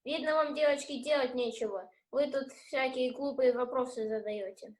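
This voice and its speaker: A woman's voice: high-pitched, moderate pace, natural voice. A woman playing an irritable, sarcastic pet owner in an amateur radio play, with a curt, dismissive manner. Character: irritable, sarcastic canary owner pestered by children.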